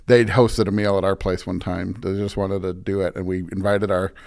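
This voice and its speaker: Informal American man, lowish voice. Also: little pitch movement, moderate pace, vocal fry, a little mellow. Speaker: pastor, podcast guest. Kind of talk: casual conversational interview.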